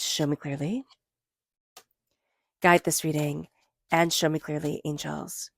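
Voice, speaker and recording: A woman's voice: lowish voice, dry recording, studio quality. Stuffy-nosed woman reading tarot. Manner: soft, slow chanted invocations. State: stuffy from a cold. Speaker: tarot reader.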